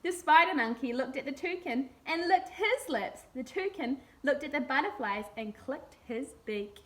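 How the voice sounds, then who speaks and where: A woman's voice: high voice, lively intonation, normal speed, fairly bright tone. A woman reading a children's picture book aloud expressively.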